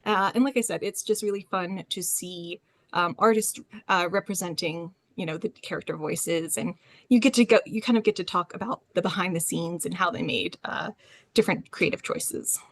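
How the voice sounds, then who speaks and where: A woman's voice: on the low side, moderate pace, dry recording. Woman presenting informally, close dry sound. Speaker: panelist, librarian.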